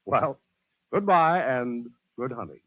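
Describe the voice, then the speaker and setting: An actor playing a genial, worldly adventurer who hosts and narrates stories in a 1940s radio style. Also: male voice, mid-range voice, animated, slow. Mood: dramatic, genial. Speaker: radio show host and narrator. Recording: dull old radio broadcast recording.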